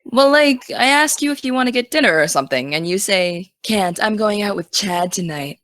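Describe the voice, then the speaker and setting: A young American woman playing an excitable, teasing teenage aspiring journalist in naturalistic, casual audio drama dialogue. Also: mid-range voice, moderate pace, a little hiss or hum, close to an everyday voice. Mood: probing.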